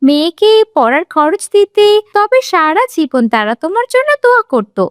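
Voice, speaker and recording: A woman voicing a kind, pious young girl in a children's cartoon, speaking gently and sincerely. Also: very high-pitched, very animated, moderate pace, no echo, clean studio sound. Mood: earnest reproach.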